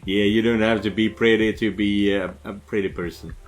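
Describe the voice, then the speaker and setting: A man's voice: on the low side, fairly even intonation, normal speed, soft background music. A man hosting a let's play, giving casual, reactive commentary as he goes through a game's dialogue. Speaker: let's-play host and narrator. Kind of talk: casual game commentary with read-aloud dialogue.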